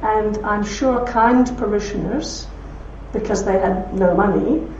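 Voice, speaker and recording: A woman with a broad Belfast accent speaking to an audience, telling anecdotes with warmth and laughter. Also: medium pitch, a little slow, slight background noise. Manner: warm anecdotal storytelling with laughs. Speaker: speaker at a talk. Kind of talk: talk with audience questions. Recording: roomy hall from far off, dull.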